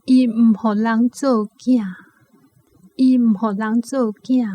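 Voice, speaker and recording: 聲音高亢、語速緩慢的台灣母親. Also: female voice, on the high side, steady tone, slow, warm tone. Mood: pained, grieving. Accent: Taiwanese. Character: anguished protective mother in a rural radio play.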